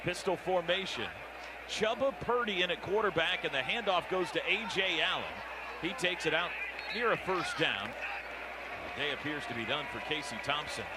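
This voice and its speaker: A man's voice: a little high, expressive, moderate pace. A man with an American accent calling football play-by-play live on the radio in clipped running descriptions. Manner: clipped running descriptions, building to shouts on big plays. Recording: radio broadcast over loud stadium noise.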